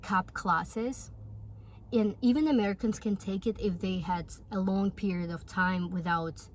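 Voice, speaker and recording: A woman's voice: mid-range voice, steady tone, unhurried, slight background noise. A woman in her twenties from Russia with a Russian accent and Russian as her first language. She speaks earnestly and encouragingly in a casual talk to the camera. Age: 20s. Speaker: vlogger.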